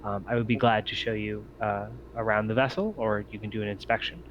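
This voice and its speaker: A man voicing a calm, courteous android in a tabletop game, speaking in a measured, formal way. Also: lowish voice, muffled recording, slight background noise, lightly acted. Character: calm, polite, well-groomed male android ship steward.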